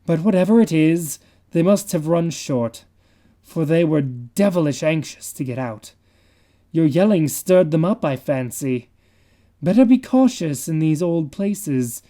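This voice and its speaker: A cool, grinning male painter, as a man reads him from a horror story. He drawls his lines slowly with a wry, offhand calm. His voice has a dark, mellow tone and sits a little high.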